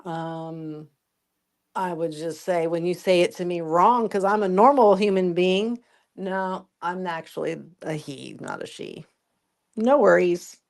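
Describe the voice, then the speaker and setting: A woman's voice: lowish voice, unhurried, darkish tone. Blunt, swearing woman podcast co-host. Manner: blunt, swears, laughs at herself. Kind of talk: casual opinionated chat.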